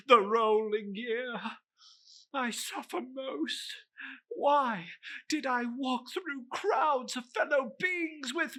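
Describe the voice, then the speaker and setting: A man's voice: very high voice, pushed and bright, voice pushed up, exaggerated voice. A man voicing the tormented ghost of a dead businessman in a dramatic storybook reading, wailing and drawing out his solemn warnings. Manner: wailing, drawn-out, ominous declamation.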